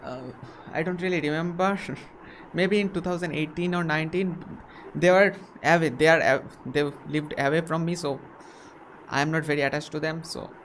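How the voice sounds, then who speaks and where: A man's voice: a little high, fairly even intonation, normal speed, fairly bright tone, a little hiss or hum, low-quality recording. Hesitant man asking questions. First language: not English. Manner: hesitant, asks short questions. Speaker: interviewer. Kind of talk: casual conversation.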